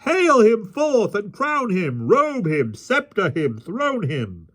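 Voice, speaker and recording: A man's voice: sits high, wildly swooping pitch, slow, fairly bright tone, voice pushed up, exaggerated voice. A man voicing rowdy, mocking vagabonds in a storybook, crying out with exaggerated mock reverence. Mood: jeering, gleeful. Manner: shrieking, mock-reverent exclamations. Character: mocking rowdy vagabonds hailing a fake king.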